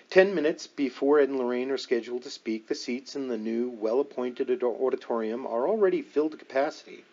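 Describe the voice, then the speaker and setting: A man's voice: mid-range voice, darkish tone. Man reading an audiobook, slightly muffled sound. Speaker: audiobook reader. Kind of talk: scripted narration of a nonfiction book.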